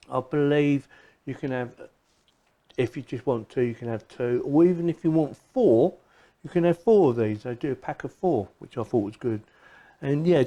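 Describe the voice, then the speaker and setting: Mellow-voiced man chatting through a product review. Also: a little high, highs cut off. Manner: chatty, repeats phrases as he goes. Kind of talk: casual unscripted product review.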